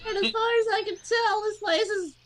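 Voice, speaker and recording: Squeaky, bewildered game hero. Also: male voice, a little fast, fairly bright tone, slight background noise. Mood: bewildered musing. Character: squeaky, bewildered visual novel protagonist thinking aloud. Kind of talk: silly amateur game dubbing. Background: game music.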